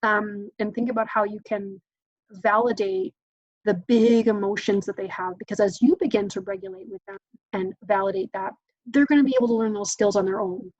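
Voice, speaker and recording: A woman's voice: mid-range voice, steady tone, moderate pace, darkish tone, no room sound, dull audio. A woman presenting a parenting workshop in a casual, chatty way, often acting out exchanges between parent and child, with frequent "um"s. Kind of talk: informal teaching talk.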